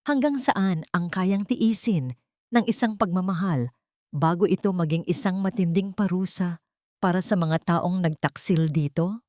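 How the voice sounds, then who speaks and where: A Filipino woman reading a dramatic scripted story as an audio narrator, in a solemn, measured manner. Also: lowish voice, darkish tone, dry recording, studio quality.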